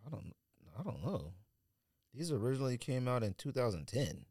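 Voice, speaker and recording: A man's voice: mid-range voice, sing-song, moderate pace, darkish tone, dry recording, studio quality. A man co-hosting a casual podcast, talking in a blunt, slangy, incredulous way and giving opinionated commentary. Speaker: sneaker podcast co-host.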